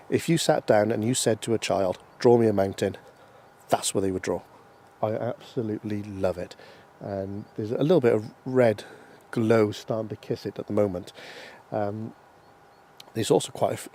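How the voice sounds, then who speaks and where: A man's voice: fairly low pitch, mellow. Stuffy-nosed man vlogging outdoors. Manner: chatty, many ums and restarts. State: congested from hay fever. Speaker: landscape photographer. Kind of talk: casual outdoor vlog. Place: outdoors in a mountain valley.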